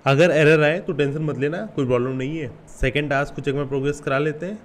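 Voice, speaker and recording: A man's voice: a little high, fairly even intonation, fast. A man in his twenties with an Indian accent hosts a casual step-by-step screen tutorial, informal and instructional, mixing in English terms. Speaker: YouTube tutorial host.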